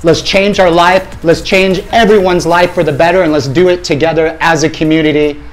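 A man's voice: a little high, fairly quick. A man in his forties, a fitness company head, giving an emphatic motivational talk to camera in a small gym studio, speaking into a lapel mic. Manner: energetic, emphatic, gestures widely with his hands.

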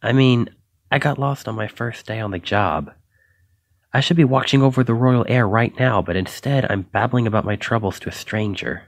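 A man's voice: mid-range voice, moderate pace, dry recording, studio quality, natural voice. A man acting a shy, flustered, self-doubting young knight in an ASMR roleplay, stammering and hesitant as he apologizes. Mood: anxious, self-doubting.

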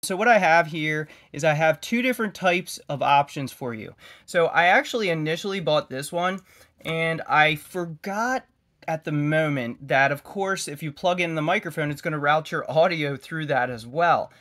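A man's voice: on the high side, moderate pace, fairly bright tone. A man giving a casual, conversational hands-on tech tutorial, explaining as he goes. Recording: clearly noisy home-video recording.

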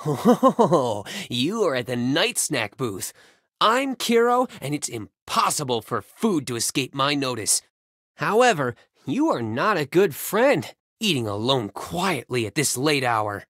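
Young male singer, playful, affectionate, boyish, animated intonation, romance game phone-call dialogue, dry recording. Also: on the high side, measured pace, dry recording, full character voice. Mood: playful, teasing. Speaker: voice actor in a mobile romance game.

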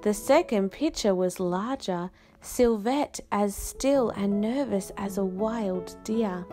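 A woman reading a children's picture book aloud with expressive, careful, unhurried phrasing and dramatic pauses. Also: medium pitch, faint music behind.

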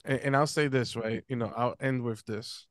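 A young man talks earnestly from a small room at home, hesitant and full of fillers. His voice is clean and smooth, delivered in a steady, level tone, and close and dry on the desk mic. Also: mid-range voice, normal speed, no room sound. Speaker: podcast guest speaker. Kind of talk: casual panel discussion. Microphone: close desk mic on stand.

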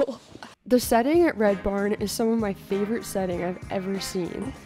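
A woman vlogging casually from a climbing gym, excited and often laughing, recorded on a camera. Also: mid-range voice, unhurried, faint music behind, low-quality recording. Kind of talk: casual vlog chat while climbing. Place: indoor climbing gym in a barn.

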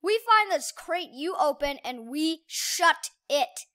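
Sly storybook cat, read by a woman, high and bright, wry and advising. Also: expressive, slow pace, no echo, clean studio sound, exaggerated voice. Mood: matter-of-fact. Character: sly, wry talking cat giving advice.

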